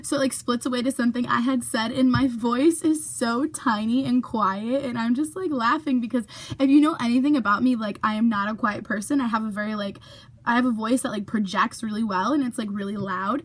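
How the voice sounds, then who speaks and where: A woman's voice: fairly high pitch, a little fast. A young woman chatting casually to her camera in a vlog, full of fillers, sometimes dropping to a whisper for asides. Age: young adult. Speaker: YouTuber.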